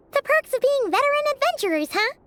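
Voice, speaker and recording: A woman voicing a small, childlike, excitable fairy companion in a video game, with a squeaky, chatty delivery. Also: very noisy. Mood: excited. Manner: chatty, expressive, exclaiming. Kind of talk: video game character acting. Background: music.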